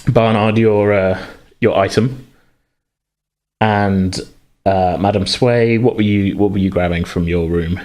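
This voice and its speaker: Hesitant man running a game, low voice. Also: steady tone, slow, darkish tone. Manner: hesitant, rambling, self-correcting. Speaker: game master. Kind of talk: improvised narration of a tabletop game.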